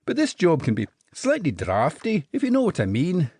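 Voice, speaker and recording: A man voicing a tiny, eager male jelly baby soldier with a Scottish accent, reporting in brisk staccato bursts. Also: on the high side, huge pitch swings, darkish tone, breathy edge, dry recording, clean studio sound, pitched above the performer's own voice, exaggerated voice. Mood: chirpy.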